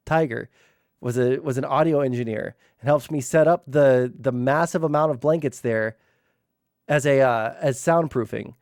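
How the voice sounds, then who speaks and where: A man streaming from home, chatting casually with lots of fillers and some swearing, his voice naturally loud. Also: fairly high pitch, dry recording, studio quality. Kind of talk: casual livestream chat. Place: room hung with soundproofing blankets. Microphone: streaming mic with gain turned low.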